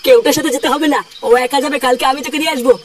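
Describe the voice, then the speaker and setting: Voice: sits high, fairly even intonation, very fast, a little hiss or hum, lightly acted. A performer voicing a fussy, nagging old village grandfather for a comedy cartoon. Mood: stern, nagging. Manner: nagging, exclaiming, indignant. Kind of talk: Bengali comedy cartoon dubbing. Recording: distant voice over clear music. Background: music.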